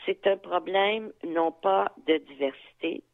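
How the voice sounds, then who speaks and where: A woman's voice: fairly low pitch, slow. Older Quebec woman on a phone line. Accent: Quebec French. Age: 70s. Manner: thoughtful, animated, frank, with fillers and asides. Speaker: interviewee, activist. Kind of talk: reflective interview talk.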